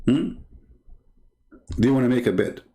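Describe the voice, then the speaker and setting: A man's voice: medium pitch, normal speed, warm tone. A man with an Arabic accent and Arabic as his first language, hosting a live stream and reading texts aloud with sarcastic, needling commentary. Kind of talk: mocking commentary while reading religious texts.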